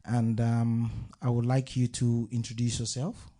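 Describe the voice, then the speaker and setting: A man hosts a live talk show from the stage of an art venue. He talks in a loose, chatty way as he introduces his guests, in a voice of middling pitch. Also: monotone, a little slow, mellow.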